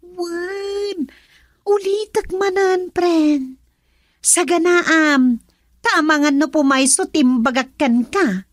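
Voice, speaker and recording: Very high-voiced, excitable young best friend. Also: female voice, dry recording, clean studio sound. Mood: cautioning. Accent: Ilocano. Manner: exclamatory, teasing, drawn-out delivery. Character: excitable gossipy young woman, heroine's loyal best friend. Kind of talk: Ilocano radio drama acting.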